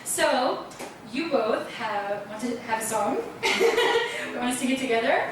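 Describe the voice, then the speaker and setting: A woman's voice: on the high side, very animated, unhurried. A woman interviewing guests in a chatty way, asking prompting questions and laughing often. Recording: echoey room, far from mic, background noise.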